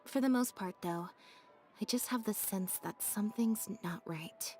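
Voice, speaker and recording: Reserved, soft-spoken young woman played by an actress. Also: medium pitch, slight background noise, lightly acted. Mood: pensive, composed. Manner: understated, measured, soft-spoken. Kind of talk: scripted video game voice acting. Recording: game audio under clear music. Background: background music.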